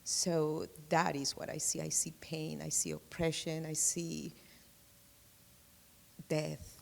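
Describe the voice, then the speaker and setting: Slow gravelly woman. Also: low-pitched, level tone, long reverb tail. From Peru. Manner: slow, emphatic, repeats key words. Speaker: discussion participant. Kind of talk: reflective group discussion.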